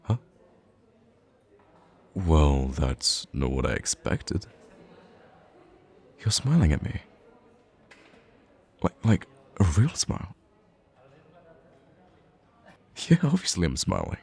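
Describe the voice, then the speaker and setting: A man's voice: extremely low pitch, huge pitch swings, takes it slowly, long pauses, a little mellow, breathy edge, exaggerated voice. A man playing a smitten young best friend in an intimate romantic ASMR roleplay, speaking hesitantly and tenderly with some playful teasing. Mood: flustered, playful.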